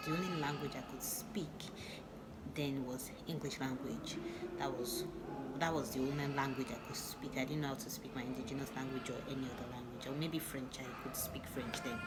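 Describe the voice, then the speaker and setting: A young woman with a Nigerian accent chats through a personal story, her voice sitting low. She laughs often and restarts her sentences as she goes. A loud noise runs steadily behind her. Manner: chatty, laughing, with many restarts and asides. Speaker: vlogger. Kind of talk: casual storytelling to camera.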